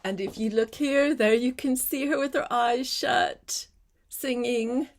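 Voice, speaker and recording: An older woman reading a storybook aloud as a grandmother, expressive and often turning to speak directly to a child. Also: fairly high pitch, slow pace.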